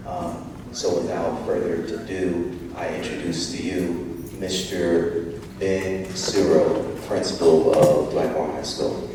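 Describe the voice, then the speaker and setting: A man giving the superintendent's report at a school board meeting, formally introducing the new principal. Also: low voice. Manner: formal and measured. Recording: echoey hall from far off, noisy.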